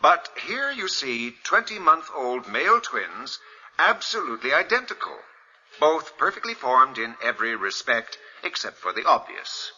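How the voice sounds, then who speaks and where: A man's voice: medium pitch, sing-song, normal speed, bright, forceful tone, breathy edge, full character voice. A man playing a grave surgeon lecturing medical students in a radio drama, clinical and declamatory. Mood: clinical, instructive. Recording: muffled old radio recording, music behind.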